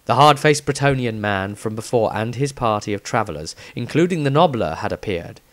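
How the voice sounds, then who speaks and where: A man reading his own fantasy story as scripted narration, with dramatic, deliberate phrasing. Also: on the low side, expressive.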